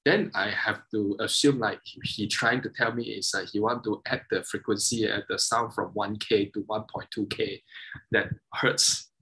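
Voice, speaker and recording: A man in his thirties whose first languages are Mandarin and Cantonese, speaking on a video call as a guest, halting and restarting often as he explains technical details. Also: fairly low pitch, fairly even intonation, sharp tone, slight background noise, poor audio. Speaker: guest, double bassist and violin maker. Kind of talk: casual interview over video call.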